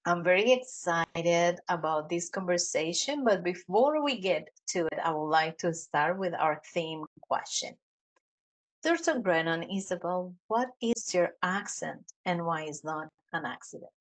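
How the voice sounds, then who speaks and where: Enthusiastic woman podcast host, lowish voice. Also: expressive, a little slow. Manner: enthusiastic, scripted intro then casual. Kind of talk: podcast interview. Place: home office with bookshelves. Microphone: podcast mic on video call.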